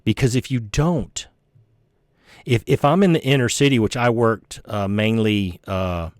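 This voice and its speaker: A man's voice: fairly low pitch, measured pace, no echo, clean studio sound. A man giving explanatory commentary to viewers in an emphatic, instructive, folksy way, like a former officer teaching from experience. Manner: emphatic, instructive, checks understanding with "okay?".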